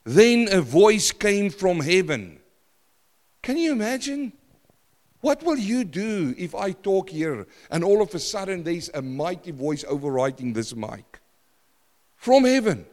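Man, preacher, loud and emphatic, sudden hushed drops, slightly high voice, long hall reverb. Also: very animated, unhurried. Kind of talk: sermon. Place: church.

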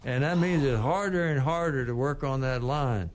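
An older man co-hosting a radio talk show, giving labor news in a plainspoken, unhurried way. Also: mid-range voice, slight background noise. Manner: plainspoken, unhurried, with frequent restarts. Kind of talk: casual labor news chat.

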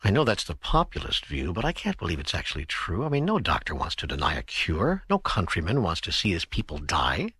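A man acts an earnest, doubtful seeker in an audiobook dialogue. His low voice is husky and very animated, conversational and openly incredulous, in a dry close recording. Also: a little fast, few pauses, no room sound, heavily acted.

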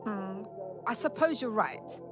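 A woman's voice: mid-range voice, expressive, takes it slowly, over music. A woman acting a stern, disappointed middle-aged mother concerned with family honor in an audio drama.

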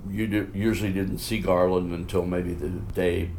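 Older male guest, low and loud, animated raconteur. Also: normal speed, vocal fry, slight background noise. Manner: animated storyteller, exclaims. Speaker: guest, veteran musical performer. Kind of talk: conversational interview.

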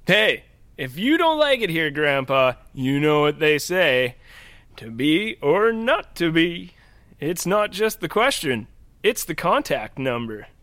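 Man acting a cheeky, high-pitched, swooping hospital worker. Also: big pitch swings, takes it slowly, fairly bright tone, dry recording, exaggerated voice. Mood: teasing, snide. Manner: folksy, breezy, teasing. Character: chatty, cheeky male hospital worker, younger than painter. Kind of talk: audio drama acting.

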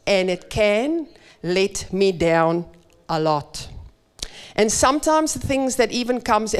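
Slow, clear-voiced woman preaching. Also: medium pitch. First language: Afrikaans. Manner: earnest, measured, emphatic delivery. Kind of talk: sermon. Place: church.

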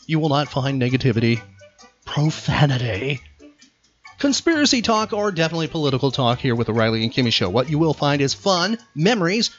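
A man's voice: fairly high pitch, sing-song, fairly quick, soft background music. Upbeat male radio host, muffled sound. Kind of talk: casual trivia show chat. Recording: muffled lo-fi audio.

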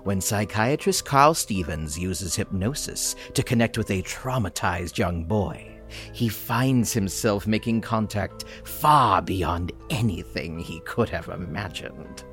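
Sly, swooping horror host. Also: male voice, lowish voice, lively intonation, takes it slowly, few pauses, fairly bright tone, faint music behind. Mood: ominous. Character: sly, teasing comic shop keeper who claims psychic powers. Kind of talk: theatrical horror host narration.

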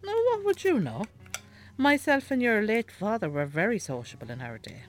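A woman playing an elderly, nagging, devout Irish mother in a radio drama, sharp-tongued and scolding. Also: mid-range voice, very animated, normal speed, close to an everyday voice. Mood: teasing. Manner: scolding, bossy. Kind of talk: naturalistic radio drama acting.

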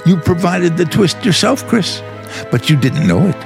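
Male actor, scornful editor, radio drama, commanding, creaky edge, highly expressive intonation. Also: moderate pace, music in the background, full character voice.

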